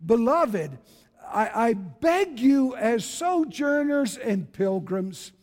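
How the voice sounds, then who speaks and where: A man's voice: extremely high pitch, sing-song, slow, darkish tone. A man of about seventy, preaching a fervent evangelical sermon in an exhorting, emphatic way, repeating phrases for weight. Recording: reverberant hall with long echo.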